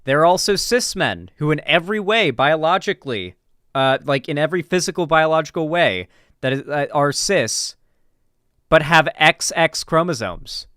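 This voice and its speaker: A man with a clear, smooth voice in a dry, close recording. He talks off the cuff like a streamer reacting to something, informal and emphatic, restarting phrases and dropping swear words. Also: a little high, expressive, normal speed, sharp tone, no room sound. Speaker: political commentary streamer. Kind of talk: casual reaction commentary, unscripted.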